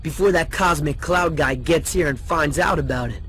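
A man's voice: fairly high pitch, moderate pace, a bit bright, a little hiss or hum, natural voice. A man voicing a cool, tough teenage guy in a video game, talking in a wry, sarcastic, casual way. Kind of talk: video game cutscene acting.

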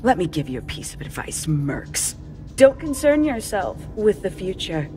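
An actress playing a smug, menacing symbiote villain in a video game, with a taunting, drawling delivery. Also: female voice, medium pitch, very animated, moderate pace, mellow, soft background music, natural voice. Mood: mocking menace.

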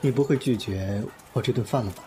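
A man acting a haughty, demanding young celebrity in an audio drama, sharp-tongued and imperious. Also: fairly low pitch, brisk, over music, natural voice. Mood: calm, softer. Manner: imperious, scoffing.

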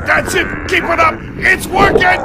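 Very high, frantic cartoon creature. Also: male voice, little pitch movement, measured pace, gravelly, heavy background noise, exaggerated voice. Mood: frantic, shouting. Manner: exclamatory, dramatic. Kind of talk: animated cartoon dub acting. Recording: loud music behind the voice.

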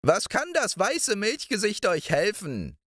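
A man's voice: sits high, huge pitch swings, measured pace, fairly bright tone, no room sound, clean studio sound, voice pushed up, exaggerated voice. A man voicing a wheedling old witch of the moor in a storybook reading, coaxing and sing-song.

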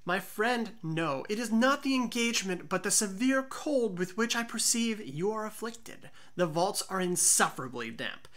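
A woman's voice: mid-range voice, lively intonation, normal speed, hardly any pauses, a bit bright, close to an everyday voice. A woman voicing a scheming avenger who feigns friendly concern, courteous and coaxing, in a dramatic storybook reading.

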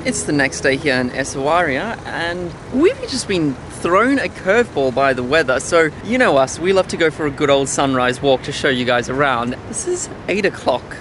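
A man's voice: on the high side, animated, normal speed, noisy background. A young man vlogging a trip, upbeat and chatty as he shows places to his viewers. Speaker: travel vlogger. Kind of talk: casual travel vlog. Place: outdoors. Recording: music under the voice.

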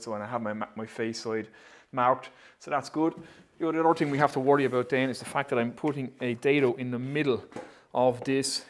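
A man in his thirties hosting a woodworking vlog, chatting casually and thinking aloud as he works in his shed. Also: medium pitch. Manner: chatty, upbeat, thinks aloud while working. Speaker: woodworking channel host. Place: indoor woodworking shed, pegboard walls.

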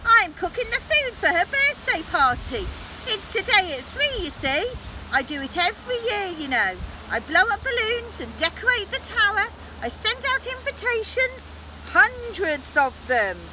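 A woman voicing a wily, gleeful witch from a children's story, chattering excitably in a squeal. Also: very high-pitched, unhurried, noisy background, pitched above the performer's own voice, heavily acted. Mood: indignant, chatty.

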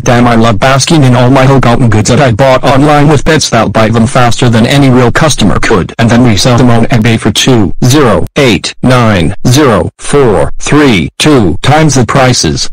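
A man's voice: mid-range voice, no room sound, lightly acted, low-quality recording. A man voicing a greedy, cackling male scalper villain in a crude cartoon parody. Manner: gloating, cackling.

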